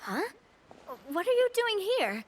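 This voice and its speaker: Confident young gang leader, high, lively voice, breezy and self-assured, game music behind. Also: female voice, a little slow, a little hiss or hum, heavily acted. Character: confident, lively young woman who leads a local gang. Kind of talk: video game voice acting. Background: game background music.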